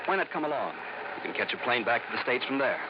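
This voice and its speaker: A man's voice: medium pitch, expressive, quick pace, lightly acted. Man playing an uneasy boat owner, noisy old recording. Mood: casual. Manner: hesitant, guarded. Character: uneasy wealthy boat owner, hesitant suspicious fiancé. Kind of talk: 1950s radio drama acting. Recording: dull old radio recording, loud boat noise.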